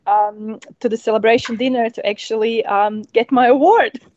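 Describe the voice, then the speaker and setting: A woman in her thirties from Croatia with a Croatian accent, a winemaker chatting in an interview, eager and halting with many ums. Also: a little high, fairly even intonation, darkish tone, no echo. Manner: hesitant, many ums, enthusiastic. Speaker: winemaker guest. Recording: dull, muffled sound.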